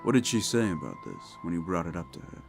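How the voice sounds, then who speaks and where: A very low-voiced, gruff demon detective, acted out by a man, works through a case in a wry, methodical way. He muses in conversational questions, as in an audio drama, with music playing behind him. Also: huge pitch swings, fast, full character voice. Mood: pensive, probing. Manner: musing, conversational, trailing questions. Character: gruff male demon paranormal investigator, wry, weary, methodical. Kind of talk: improvised audio roleplay drama. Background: background music.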